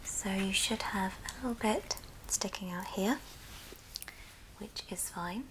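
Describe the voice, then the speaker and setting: A woman whispering a gentle, breathy, step-by-step ASMR craft tutorial. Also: mid-range voice. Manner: slow, gentle step-by-step instruction. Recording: noisy background, handling clicks.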